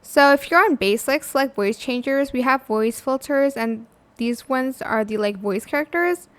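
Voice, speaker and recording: A woman hosting a casual software tutorial, talking in a chatty way with plenty of "like" and "um" fillers. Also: sits high, moderate pace. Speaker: tutorial channel host. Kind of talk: casual screen-recording tutorial.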